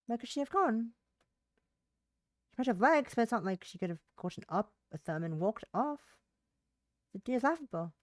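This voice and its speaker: A woman's voice: fairly high pitch, expressive, moderate pace, long pauses, no echo. Woman reading a visual novel aloud, close dry sound. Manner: stumbles over words and rereads phrases. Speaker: livestreamer playing a visual novel. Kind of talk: reading visual novel text aloud, with brief casual asides.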